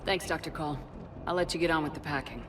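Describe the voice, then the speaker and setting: Game commander woman actor, deep female register, direct and caring, over background rumble. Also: fast talker, lightly acted. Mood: calm, sympathetic. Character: resolute military commander heroine, calm and caring. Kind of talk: video game cutscene acting.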